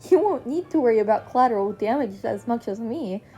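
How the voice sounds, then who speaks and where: Cast: woman voicing a chirpy teen girl, raised and bright-toned, faint music behind. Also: on the high side, lively intonation, a little fast, breathy edge. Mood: cheerful. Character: friendly bubbly teenage girl student.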